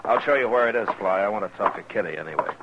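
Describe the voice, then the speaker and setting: Actor as steady frontier marshal, dull vintage radio. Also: male voice, lowish voice, racing, heavy background noise, natural voice. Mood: calm, matter-of-fact. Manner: terse, dryly sardonic questioning. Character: steady, dry-witted US marshal in the Old West. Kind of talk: 1950s radio western acting. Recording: dull 1950s radio recording.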